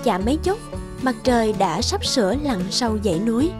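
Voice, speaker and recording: A woman reading scripted narration for an animated fairy tale. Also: a little high, normal speed. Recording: music behind the voice.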